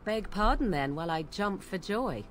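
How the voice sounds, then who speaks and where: An actress playing a proud, sardonic young witch of the wilds in a fantasy game, speaking in formal, archaic phrasing with deliberate care. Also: mid-range voice, animated, a little hiss or hum, lightly acted. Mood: wry, reflective.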